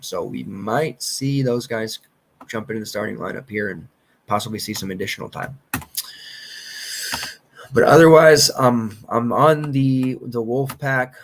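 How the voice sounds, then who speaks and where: A man in his thirties, losing his voice and clearing his throat, rambling casually through sports betting picks. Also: on the low side, slight background noise. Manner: loose, rambling, confident picks with filler and restarts. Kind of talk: casual solo podcast commentary.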